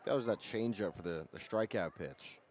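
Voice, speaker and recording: A man's voice: lowish voice, moderate pace, darkish tone. A man giving baseball color commentary as an analyst alongside a play-by-play announcer.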